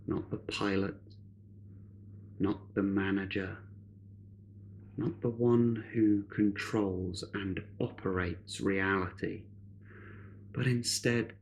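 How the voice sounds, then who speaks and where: Male meditation guide: fairly low voice, very slow and measured delivery, light ambient noise behind. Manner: measured, unhurried, with long pauses.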